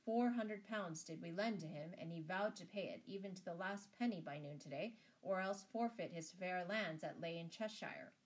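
A man's voice: high-pitched, normal speed, hardly any pauses, close to an everyday voice, low-quality recording. Woman reading as a formal, stern medieval prior. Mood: formal, reminding. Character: dutiful, stern monastery prior, medieval man. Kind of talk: storybook character voice.

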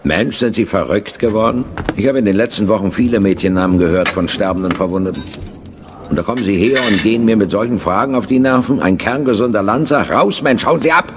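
A man acts an irritable field surgeon in a radio drama. His voice is low, gruff and brusque, full of weary cynicism. There is clear noise and clattering behind him. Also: fairly quick, natural voice. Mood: angry.

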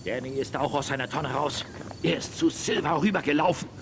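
A man playing a nosy, excitable army general in a western children's audio drama. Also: on the high side, fairly quick, lightly acted. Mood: observant, excited. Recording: clear background noise.

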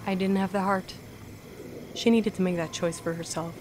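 Woman acting as soothing grim reaper lady in a radio drama, solemn n measured, noisy bg. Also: on the low side, steady tone, close to an everyday voice. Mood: warm, grateful.

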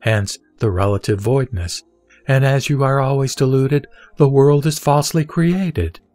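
A man reading the words of the Buddha as a calm, wise teacher, in a measured and solemn scripted recitation. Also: medium pitch, no room sound, soft background music, lightly acted.

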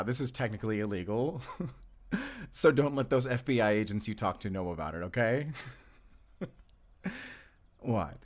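A man playing an affectionate, playful and flirty love interest in an intimate audio roleplay, with a gently teasing manner. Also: mid-range voice, lightly acted. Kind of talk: intimate audio roleplay acting addressed to the listener.

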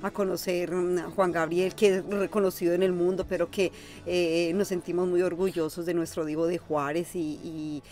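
A woman's voice: lowish voice, flat delivery, normal speed, hardly any pauses, warm tone. A Colombian woman in her forties with a Colombian Spanish accent, co-hosting a music reaction chat in a small room, talking earnestly with many fillers. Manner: emotional, earnest, talks at length with many fillers. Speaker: co-host of a music reaction channel. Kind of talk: casual chat.

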